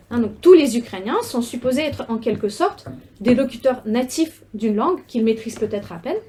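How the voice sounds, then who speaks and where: A young adult woman researcher giving an academic seminar talk, measured and often pausing on fillers and repeated words. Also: high-pitched, darkish tone, slight background noise.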